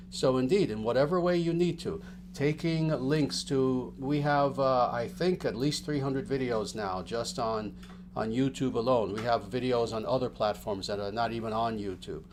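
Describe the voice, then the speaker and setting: Gentle rambling spiritual teacher, a man. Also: medium pitch, noisy background. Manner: gentle, rambling, with many fillers and restarts. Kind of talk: livestream announcements to followers.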